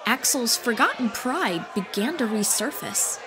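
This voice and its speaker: A woman's voice: fairly high pitch, animated, a little slow, crowd noise, background noise. A woman reading scripted fantasy audiobook narration as the storyteller. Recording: music bed behind voice.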